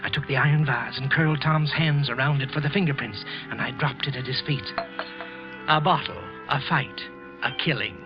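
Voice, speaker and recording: A muffled vintage radio recording with music carries an actor's portrayal of a guilt-ridden man, a clerk confessing to murder. He narrates in a tense, halting 1940s suspense style, frightened and repeating himself. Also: mid-range voice, lightly acted. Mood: anguished confession.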